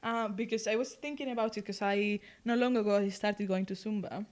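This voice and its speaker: A woman's voice: mid-range voice, steady tone, a little fast, a little mellow. A young woman from Spain with a Spanish accent, co-hosting a podcast and chatting casually with lots of fillers. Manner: chatty, laughing, many fillers. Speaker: podcast co-host. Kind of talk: casual chat. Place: London.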